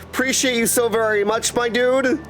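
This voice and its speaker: A man giving casual, excitable, jokey live commentary as he streams a game. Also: extremely high pitch, normal speed, slight background noise. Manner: excitable, jokey, bursts of exclamation.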